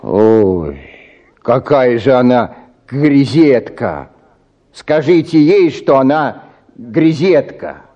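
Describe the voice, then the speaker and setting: An actor playing an officious, fussy village pharmacist with affected manners in a radio play. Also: male voice, medium pitch, big pitch swings, slow, long pauses, highs cut off. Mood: affected gallantry. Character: officious, fussy male village pharmacist, smarmily gallant to women.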